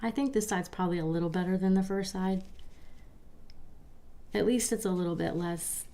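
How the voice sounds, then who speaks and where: Mellow-voiced, slightly stuffy woman. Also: medium pitch, moderate pace. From Baltimore, Maryland. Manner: relaxed, chatty, reads viewer comments aloud with small laughs. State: a little stuffy and sniffly. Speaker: crafting livestream host. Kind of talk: soft-spoken live crafting chat.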